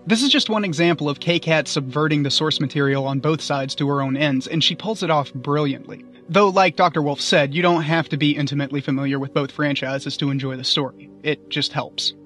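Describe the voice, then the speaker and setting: A man's voice: a little high, brisk, few pauses, fairly bright tone, soft background music. A man giving scripted review commentary as a guest.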